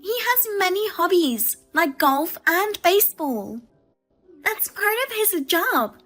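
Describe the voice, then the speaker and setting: A woman doing a shrill scornful sister-in-law. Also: big pitch swings, takes it slowly, soft background music, heavily acted. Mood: indignant. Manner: scornful, loud and accusing. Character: shrill, demanding female sister-in-law, a mother.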